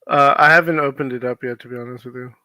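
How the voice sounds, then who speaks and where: A man's voice: mid-range voice, steady tone, fast talker, pushed and bright, no echo. A man co-hosting a casual chat, easygoing and laughing a lot.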